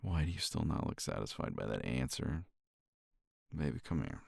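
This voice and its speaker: Very deep gravelly man, soft and intimate. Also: very animated, moderate pace, no room sound, clean studio sound. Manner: tender, reassuring partner talk to a listener. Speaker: ASMR roleplay performer. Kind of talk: romantic ASMR roleplay, intimate soft talk.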